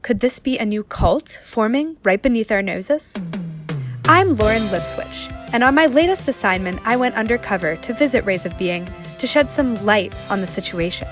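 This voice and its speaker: A woman's voice: on the high side, expressive, normal speed, over music, natural voice. A woman playing a serious, probing investigative reporter in a documentary-style radio play. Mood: grave, intriguing. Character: earnest, suspicious undercover female investigative reporter.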